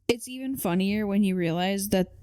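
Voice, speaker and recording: A woman's voice: mid-range voice, normal speed, warm tone, clear, resonant tone, dry recording. Woman chatting casually, close dry sound. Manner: informal, opinionated fan talk. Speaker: podcast co-host. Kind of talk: casual chat about video game movies.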